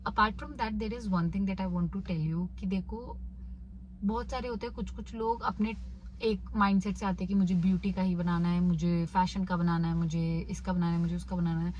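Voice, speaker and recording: A woman's voice: lowish voice, fairly even intonation, moderate pace, a little mellow, lo-fi sound, a little hiss or hum. A young woman in her twenties with an Indian accent, mixing Hindi and English as she casually answers viewer questions in a vlog. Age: 20s. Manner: switches between Hindi and English. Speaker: YouTuber.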